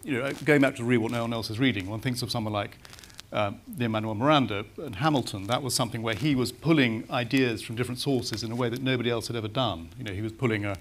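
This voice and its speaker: A man's voice: mid-range voice, fast. A middle-aged man speaking on a festival panel with a mid-range voice. He thinks aloud, restarting phrases as he hunts for the right words. Faint room noise sits under his voice. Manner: thoughtful, searching for words, many restarts. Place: large marquee with audience.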